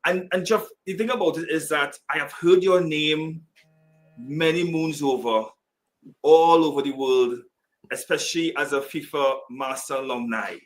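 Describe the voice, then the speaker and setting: Man from Trinidad and Tobago, podcast host, slightly higher voice, formal and earnest. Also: a little slow, low-quality recording. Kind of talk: podcast interview.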